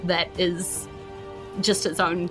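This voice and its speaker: A woman's voice: fairly low pitch, level tone, slow pace. A woman with a New Zealand accent casually narrating a tabletop fantasy game as game master, with music behind her.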